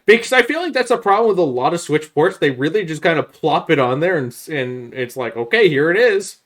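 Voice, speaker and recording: A man's voice: on the high side, lively intonation, a little fast, fairly bright tone. A man talking in a casual gaming podcast style as a co-host, arguing his opinions.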